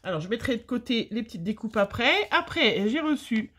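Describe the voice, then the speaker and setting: A woman's voice: fairly high pitch, animated, fairly quick, fairly bright tone, breathy edge. A middle-aged French woman with a slight cold, chatting casually and rambling with many fillers as she opens her mail for her viewers. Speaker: scrapbooking vlogger. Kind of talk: casual chat, opening mail on camera.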